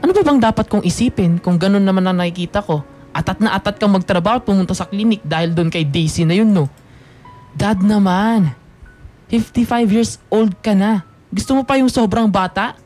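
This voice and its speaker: An actor with a Filipino accent playing an insolent, sarcastic student son in a radio drama, slipping between Tagalog and English. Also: male voice, high-pitched, fairly quick, a little mellow, soft background music, natural voice. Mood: accusing, bitter. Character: sullen, insolent young adult son, a student.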